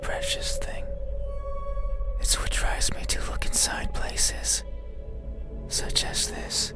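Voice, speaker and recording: A man playing an eerie, lonely monster in a horror game, pleading in a slow, intimate, breathy whisper with a menacing edge. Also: natural voice. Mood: probing. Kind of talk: ASMR-like horror game acting. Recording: close whisper under loud scary music.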